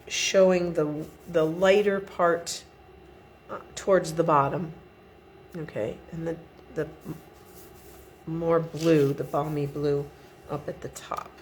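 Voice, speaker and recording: A woman's voice: low-pitched, a little mellow, a little hiss or hum. A middle-aged woman giving a chatty step-by-step card-making tutorial at her home craft desk, sniffly from a cold. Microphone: overhead camera above the desk.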